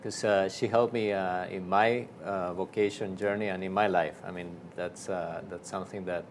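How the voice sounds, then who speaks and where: Argentine priest guest, lower voice, halting with lots of "uh" and restarts, faint background hum. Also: male voice, fairly even intonation. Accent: Argentine Spanish. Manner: halting, many fillers and restarts. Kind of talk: casual interview storytelling.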